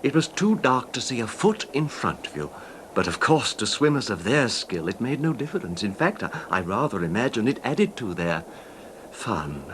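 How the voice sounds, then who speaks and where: An actor playing a controlled, wronged husband calmly confessing his revenge in a 1970s radio drama, measured and understated, with slight hesitations. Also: medium pitch, slight background noise. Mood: hushed, chilling.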